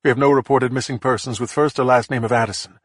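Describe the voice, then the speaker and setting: A man's voice: mid-range voice, fairly even intonation, quick pace, no room sound, studio quality, voice pushed up, natural voice. A man voicing a methodical female police investigator who talks in a level, matter-of-fact way. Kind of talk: audiobook character voice.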